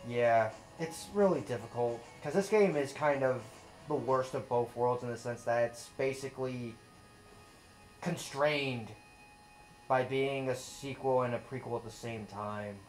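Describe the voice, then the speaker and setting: Measured critical man, mid pitch. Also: faint music behind, poor audio. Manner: measured, critical, explains at length. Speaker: let's play guest. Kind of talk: casual commentary chat.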